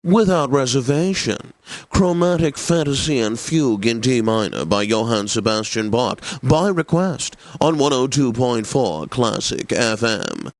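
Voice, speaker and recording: A man playing a pompous, refined classical radio DJ in a video game, presenting with affected, deliberate enunciation and droll gentility. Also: medium pitch, animated, no room sound. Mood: genteel, self-satisfied.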